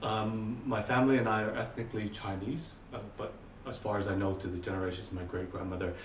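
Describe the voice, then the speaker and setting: A man in his forties, an art student, introducing himself in a measured way. His voice is fairly low. He sounds far from the mic in an echoey room, with faint rumble behind him. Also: steady tone. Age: 40s. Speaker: painting student. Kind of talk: self-introduction.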